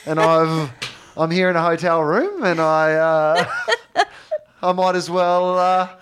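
A man with a slightly high voice tells a comic anecdote in casual chat. He builds up his story with animated asides and hesitant "uh"s along the way. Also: slow pace, clear, resonant tone.

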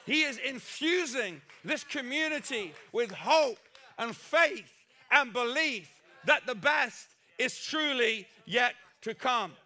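Male preacher, very high voice, slow deliberate delivery, emphatic repetitions, bursts of shouting. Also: lively intonation. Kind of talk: impassioned sermon.

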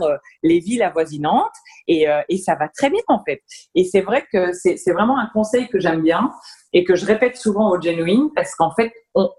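A woman's voice: mid-range voice, husky. A woman, a business founder talking enthusiastically as a podcast guest. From Belgium. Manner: lively, enthusiastic, talks through ideas with fillers. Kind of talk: casual interview chat.

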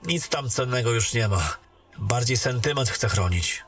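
A man voicing a weary warrior back from a mission in a fantasy audio drama, speaking in a calm, matter-of-fact way. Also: mid-range voice, a little slow, soft background music.

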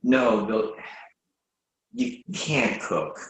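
A man acting a reluctant, sensible friend with a halting, incredulous manner in a readers theatre comedy, recorded in an echoing room. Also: lowish voice, expressive, fairly bright tone, full character voice. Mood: exasperated. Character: exasperated, reluctant male friend, sensible but awkwardly polite.

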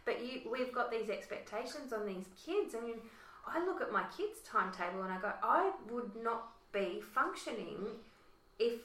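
A woman host with a croaky voice, chatting warmly and using lots of fillers. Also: fairly high pitch, lively intonation, normal speed, recorded from a distance. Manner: chatty, lots of "you know". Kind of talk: casual discussion. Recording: roomy echo.

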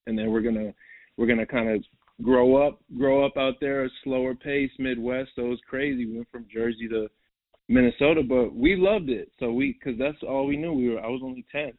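A man's voice: medium pitch, fairly quick, dry recording. A young man from New York, a basketball guest in a casual interview, rambling and repeating himself with lots of "like" and "bro". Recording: tinny phone-like line, dry.

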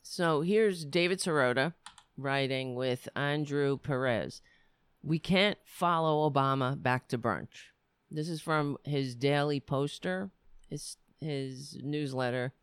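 Raspy guy ranting about politics, slow talker, very up and down, dry close sound. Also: medium pitch, fairly bright tone, no echo. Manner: heated and sarcastic, hurling insults. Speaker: podcast host. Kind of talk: political commentary rant, reading articles aloud.

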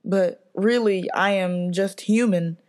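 A woman's voice: medium pitch, slow pace, a little mellow. A teenage girl performing her own spoken word piece, intense, pleading and confessional. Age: teens. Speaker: student performer.

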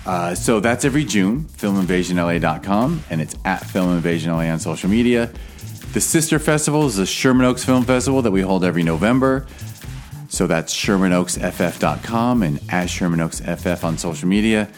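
Deep-voiced man hosting a podcast. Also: animated, fairly quick, faint music behind. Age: late 30s. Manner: candid, jokey, swears casually. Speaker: podcast host. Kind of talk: casual chat.

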